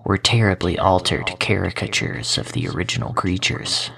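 An actor playing a self-important, cult-like chief executive giving a measured, pompous speech in an audio drama. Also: male voice, on the low side, fairly bright tone. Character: smooth, self-important male cult-like corporate CEO.

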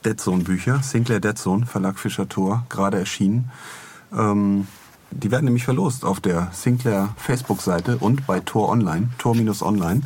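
A man's voice: lowish voice, moderate pace, a little mellow, husky. A man co-hosting a podcast in casual, joking conversation. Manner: joking, bantering. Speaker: podcast co-host.

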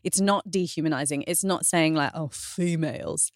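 A woman guesting on a podcast, a fitness trainer talking in an animated, earnest way with lots of "like" and self-corrections. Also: deep voice, moderate pace, no room sound, clean studio sound. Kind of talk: casual podcast conversation.

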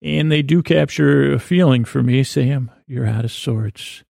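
Creaky-voiced, rambling man. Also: medium pitch, a little mellow, dry recording, studio quality. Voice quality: mumbling. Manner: meandering, halting, stammering and repeating words; silly, self-deprecating. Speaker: podcast host. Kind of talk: rambling, whimsical bedtime monologue.

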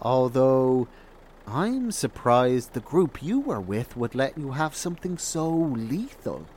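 An actor playing a blunt, world-weary, defiant survivor in a naturalistic horror audio drama. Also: male voice, medium pitch, big pitch swings, slow pace, a little mellow. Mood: wary, wry. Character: jaded, defiant lone male survivor in a horror apocalypse.

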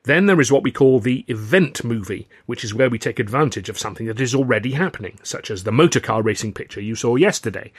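A man's voice: mid-range voice, expressive, fast, hardly any pauses, slightly rough, no echo, studio quality. A man voicing a vain, self-satisfied film comedian in an audiobook, boastful, theatrical and emphatic. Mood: explaining, showing off.

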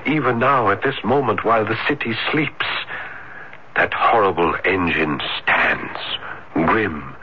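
An actor playing an anguished, lonely, shy scholar in revolutionary Paris, halting and melodramatic, in an old-time radio drama. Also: male voice, fairly low pitch, lightly acted. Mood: horrified anguish. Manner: halting, repeating himself, melodramatic. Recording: dull, noisy 1970s radio recording.

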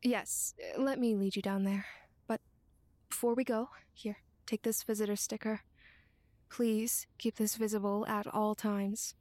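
An actress playing a formal, polite caretaker at a care home. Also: female voice, mid-range voice, little pitch movement, unhurried, no room sound, natural voice. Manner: formal, polite, drops to a hush.

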